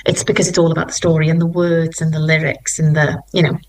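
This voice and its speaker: A young woman talks eagerly about her life as a performer, circling back over her words as she goes. Her voice sits a little low for a woman. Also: little pitch movement, fast talker, a little mellow, a little hiss or hum. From Wales. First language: Welsh. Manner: enthusiastic, rambling with restarts. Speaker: musical theatre performer and singer. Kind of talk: interview.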